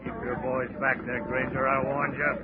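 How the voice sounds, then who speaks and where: A man's voice: medium pitch, level tone, normal speed, lightly acted. An actor playing a ruthless armed male gangster who barks orders and sneers, in 1940s radio acting. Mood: barking. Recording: dull 1940s radio broadcast with noise.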